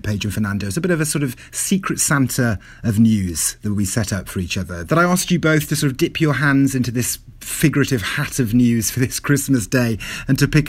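A man hosting a radio news review, talking in a chatty, lively way with a few sort-of fillers. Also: mid-range voice, husky, no echo. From Wales. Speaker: radio host.

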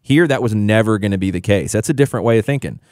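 A man's voice: fairly low pitch, fast, darkish tone, no room sound, clean studio sound. A man analyzing soccer as a guest on a radio sports talk show, explaining tactics confidently.

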